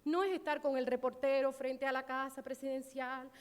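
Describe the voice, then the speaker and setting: A woman's voice: high-pitched, flat delivery, moderate pace, clean tone. A woman executive giving a conference presentation, speaking passionately and emphatically, with frequent fillers and restarts. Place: conference hall. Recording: long hall reverb.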